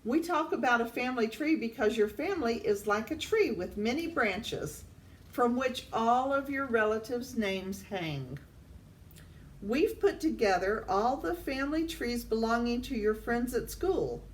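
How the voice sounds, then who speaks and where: An older woman reads a children's picture book aloud in a deliberate way, addressing young listeners. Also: fairly high pitch, lively intonation. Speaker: storytime reader. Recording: distant mic, faint background noise.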